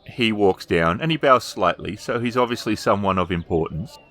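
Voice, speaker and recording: A man narrating a tabletop role-playing game as storyteller, improvising descriptions with hesitant pauses. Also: lowish voice, fairly bright tone.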